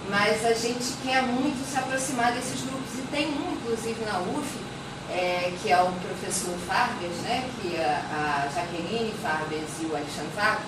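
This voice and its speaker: A woman's voice: mid-range voice, a little slow. A woman with a Brazilian Portuguese accent giving an informal academic presentation as a professor, conversational and digressive, often adding tags that seek agreement. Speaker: university communication professor presenting research. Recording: very echoey, distant mic, noisy background.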